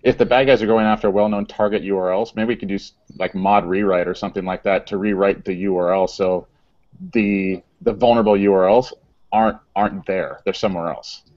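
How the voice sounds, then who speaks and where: A man co-hosting a casual tech chat, talking haltingly and often repeating words. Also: fairly low pitch, fairly even intonation, a little mellow, highs cut off. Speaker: co-host.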